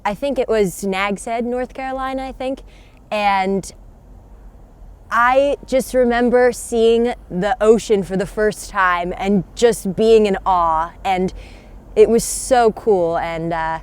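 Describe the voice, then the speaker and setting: A young woman from Pennsylvania recalls her childhood in a chatty way. Her voice is clear and smooth at a middle pitch, and it sinks low and soft as she remembers. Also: slow pace. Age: young adult. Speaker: interviewee, young artist. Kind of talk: casual personal storytelling to camera.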